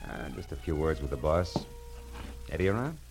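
A deep-voiced wry private eye. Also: male voice, sing-song, moderate pace, over music, poor audio. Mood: casual, probing. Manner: wry, wisecracking. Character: wry, hard-boiled male private detective. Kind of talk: 1940s radio detective drama acting, with narration. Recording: old radio drama with musical underscore.

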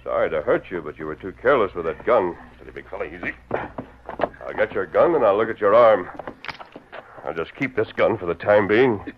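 An actor playing a calm, commanding masked lawman in an old radio western, measured and courteous. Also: low-pitched. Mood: firm. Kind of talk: 1940s radio western acting. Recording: muffled old radio recording, faint noise.

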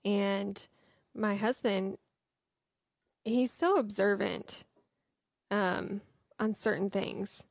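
A woman's voice: mid-range voice, fairly even intonation, extremely slow, creaky, pauses often, dry recording, studio quality. A woman co-hosting a morning show, chatting casually and affirming her partner, laughing easily. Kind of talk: casual chat.

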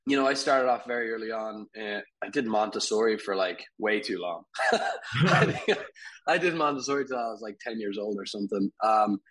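A man in his thirties, from Ireland, talking casually as an interview guest, rambling with fillers and soft laughs. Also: on the low side, normal speed, poor audio. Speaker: guest, furniture company CEO.

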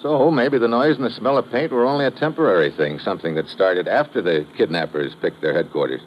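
Deep-voiced hard-boiled American investigator played by an actor. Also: male voice, brisk, natural voice. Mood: brisk, urgent. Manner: hard-boiled, terse, wisecracking. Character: tough, sardonic American male investigator Steve, narrating his case. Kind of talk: old-time radio crime drama acting and narration. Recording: muffled, noisy vintage radio recording.

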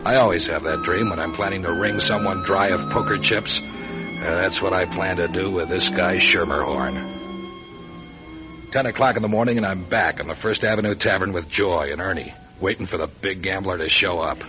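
An actor playing a wry, middle-aged hard-boiled card hustler in a radio detective drama, with a dry wisecracking delivery. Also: male voice, sits low, moderate pace, close to an everyday voice. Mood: dry narrating. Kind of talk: radio detective drama, first-person narration and dialogue. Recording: dull lo-fi radio show, music behind.

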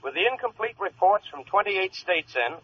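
A muffled old broadcast with faint noise carries a male newsreader. His voice is high and he announces formally, in vintage radio fashion. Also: lively intonation, normal speed. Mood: announcing. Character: formal male radio newscaster reading election returns.

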